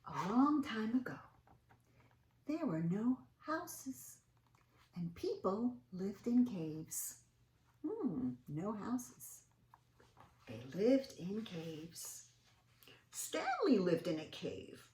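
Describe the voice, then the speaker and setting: A woman reading a storybook aloud to young children in an expressive way, with little interjections and questions to her listeners. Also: medium pitch, very slow, long pauses, a little hiss or hum. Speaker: children's read-aloud host. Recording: roomy, echoing sound.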